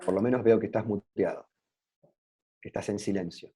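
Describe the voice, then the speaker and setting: A man's voice: on the low side, fairly quick, warm tone, breathy edge. A man with a Rioplatense Spanish accent moderating an online business webinar over a video call, inviting guests to speak.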